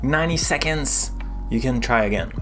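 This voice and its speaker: A man's voice: medium pitch, lively intonation, normal speed, a bit bright, soft background music. Chatty man with a French accent. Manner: chatty, rambling, self-interrupting with fillers. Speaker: live coding streamer. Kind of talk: casual live-stream tech chat.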